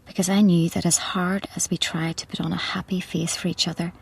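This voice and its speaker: Sombre woman narrator, creaky and husky, very dry close sound, reflective radio drama. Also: lowish voice, flat delivery, a little fast, no echo, full character voice. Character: sombre young woman looking back on hard years.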